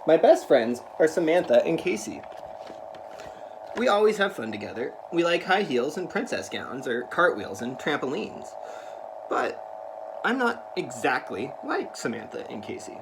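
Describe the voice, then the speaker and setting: A man speaking to children in an earnest, careful, deliberate way, as in a read-aloud. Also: medium pitch, sing-song, gravelly, noisy background. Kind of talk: read-aloud of a children's picture book with spoken introduction.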